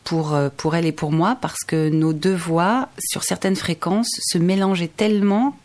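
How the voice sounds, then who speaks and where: A woman whose first language is Indonesian, a singer in her forties, telling a long story in a radio interview with many pauses and fillers. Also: on the low side, a little mellow. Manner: hesitant, many fillers and restarts. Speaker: singer, guest.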